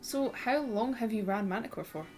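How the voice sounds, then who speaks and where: A woman's voice: fairly high pitch, lively intonation, moderate pace, darkish tone, soft background music. A woman hosting a podcast interview in a casual, conversational style. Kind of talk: casual chat.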